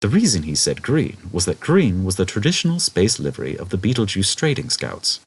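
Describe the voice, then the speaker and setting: A man reads a comic novel as a scripted audiobook. His voice sits low and is rough-edged, and his intonation swings widely as he tells the story. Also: wildly swooping pitch, fairly quick. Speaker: audiobook narrator.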